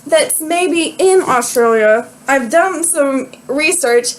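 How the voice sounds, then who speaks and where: A woman's voice: sits high, lively intonation, slow. A young woman co-hosting a casual chat show, giggly and rambling, with lots of fillers. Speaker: podcast co-host.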